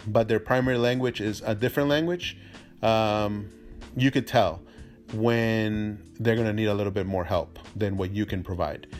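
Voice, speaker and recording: A man whose first language is Spanish, giving an informal explanatory talk as a real estate agent, halting with frequent mid-sentence pauses. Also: medium pitch. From immigrant to the US from a Spanish-speaking country.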